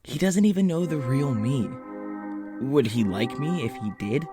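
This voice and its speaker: Audio drama lead: male actor as a troubled, secretive scientist, mid-pitched, halting and self-questioning, clearly backed by music. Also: lively intonation, close to an everyday voice. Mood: conflicted, wistful. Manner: hesitant, sighing, self-questioning. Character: anxious, self-doubting male scientist who studies creatures, secretly undying. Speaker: lead voice actor in a fiction podcast. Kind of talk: confessional audio drama monologue.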